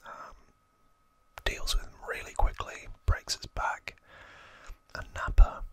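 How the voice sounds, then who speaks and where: An ASMR host whispering casual, informal trivia narration in a breathy, unvoiced voice. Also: slow pace, sharp tone.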